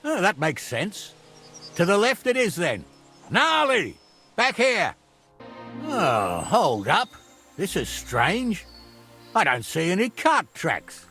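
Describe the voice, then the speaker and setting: A man's voice: high-pitched, takes it slowly, long pauses, soft background music, exaggerated voice. An actor playing a bossy, hot-tempered medieval man in command, in a video game. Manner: imperious, animated, commanding.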